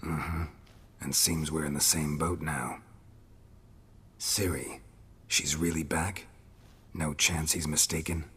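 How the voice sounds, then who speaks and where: A world-weary fantasy game monster hunter, extremely deep and very husky. He speaks tersely with dry, deadpan sarcasm, in a long hall reverb. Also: slow pace, pauses often, heavily acted. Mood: dry, wary. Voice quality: gravelly, husky. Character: gruff, world-weary male monster hunter, dryly sardonic. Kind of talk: dramatic video game voice acting.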